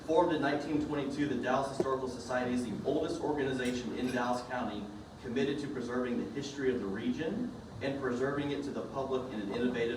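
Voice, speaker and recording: A man hosting a club meeting, introducing the speakers in an upbeat, friendly way. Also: mid-range voice. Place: large meeting room. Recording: echoey room from far off with background noise.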